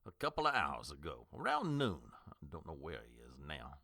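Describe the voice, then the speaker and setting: Flustered older doctor guy, low but sharp voice, stuffy and hesitant, stammers, radio play, close dry sound. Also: wildly swooping pitch, normal speed, gravelly, no echo, heavily acted. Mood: nervous. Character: flustered older male doctor, formal and weak-willed. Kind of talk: audio drama acting.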